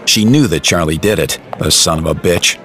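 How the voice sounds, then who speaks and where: A man's voice: low-pitched, moderate pace, fairly bright tone, soft background music. A man narrating a dramatised audiobook in a wry, colloquial storytelling manner.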